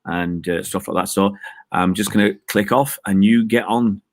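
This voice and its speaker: A male host with a fairly low voice chats loosely, rambling and teasing with plenty of ums. The sound is dry and close, with little room around it. He speaks from a balcony outdoors. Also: normal speed, no echo. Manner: chatty, jokey, rambling with many fillers. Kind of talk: casual chat.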